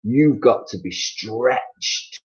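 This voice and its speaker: A man's voice: mid-range voice, drawn-out words, muffled recording, pitched above the performer's own voice. A man voicing a nasty old man who taunts and jeers at his wife in a storybook reading. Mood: mock-solemn. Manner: taunting, jeering. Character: cruel gloating old man, nasty prankster.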